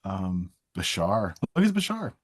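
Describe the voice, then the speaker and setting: A man co-hosting a live stream with casual, wry banter in a halting delivery. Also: sits low, huge pitch swings, husky.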